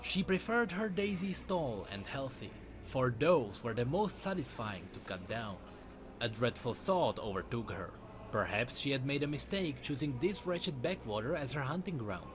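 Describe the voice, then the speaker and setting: A man narrating a dark fantasy story from a script in a dramatic storytelling style. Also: mid-range voice, very animated, moderate pace, background noise. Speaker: lore narrator.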